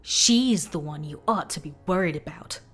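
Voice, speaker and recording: An actress playing a composed female ghost-hunter mercenary who speaks quietly and with measured calm in a fantasy audio drama. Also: sits low, breathy edge, exaggerated voice. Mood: menacing. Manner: quiet, measured, coldly calm, near-whispered.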